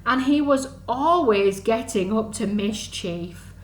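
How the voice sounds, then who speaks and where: High-voiced woman reading slowly to little children. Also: lively intonation. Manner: sing-song, exaggerated, asks the listeners questions. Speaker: children's storyteller at an under-fives group. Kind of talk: animated storytime reading to young children. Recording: echoey room, faint background noise.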